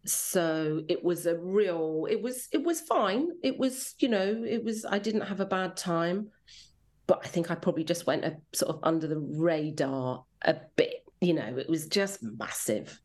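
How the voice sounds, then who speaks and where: A woman's voice: fairly low pitch, lively intonation, measured pace, darkish tone. A woman from rural Devon with an English accent, chatting reflectively and casually as an interview guest, with a self-deprecating manner. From rural Devon, England. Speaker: interview guest, children's drama commissioning editor.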